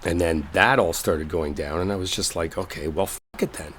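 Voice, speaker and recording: Roleplay audio lead: male actor as smitten, sincere office colleague, deep voice, colloquial halting confession, faint rainstorm ambience behind. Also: a bit bright, slight background noise. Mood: frustrated, apologetic. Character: earnest lovestruck male coworker clearing up a misunderstanding. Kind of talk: intimate dramatic roleplay audio, one-sided conversation.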